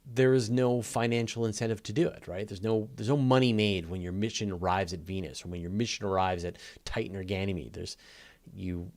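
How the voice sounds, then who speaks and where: A man's voice: fairly low pitch, steady tone, a little fast, darkish tone. A man hosting a live question-and-answer show, explaining conversationally and emphatically in an off-the-cuff way, with repetition and everyday comparisons. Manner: conversational, emphatic, builds points through repetition and everyday analogies. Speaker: host of a live astronomy Q&A.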